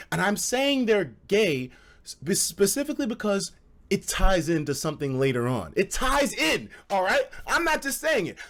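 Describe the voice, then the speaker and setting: A man streaming casual, animated stories to a live chat, with slangy, profane phrasing and dramatic emphasis. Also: on the high side. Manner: profane, slangy, acts out quoted dialogue; dramatic emphasis and repetition for suspense.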